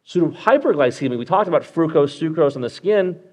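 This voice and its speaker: A man's voice: on the high side, expressive, brisk, a little mellow. A man hosting a live medical podcast. He walks through clinical cases in a conversational way, with lots of fillers and check-ins with the listener.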